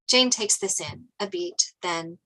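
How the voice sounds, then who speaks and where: A woman's voice: lowish voice, animated, takes it slowly, fairly bright tone, low-quality recording. A woman reading screenplay stage directions as scripted narration.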